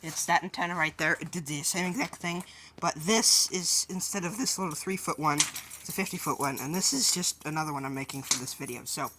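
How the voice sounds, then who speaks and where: A woman's voice: deep voice, fairly quick, background noise. A woman hosting a DIY how-to video, explaining each step informally and a little hesitantly. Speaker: host of a DIY tutorial video. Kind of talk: casual hands-on how-to explanation.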